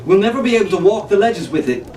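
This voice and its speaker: A man voicing an anxious, practical young male pirate who shouts hurriedly in a storybook tale. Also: sits high, big pitch swings, fast, pitched above the performer's own voice. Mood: panicked. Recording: roomy, distant, noisy recording.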